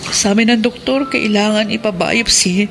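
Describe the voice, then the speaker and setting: A woman's voice: medium pitch, moderate pace, vocal fry, music in the background, natural voice. A woman acting a resentful, ailing and anxious wife in a Filipino radio drama. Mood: worried.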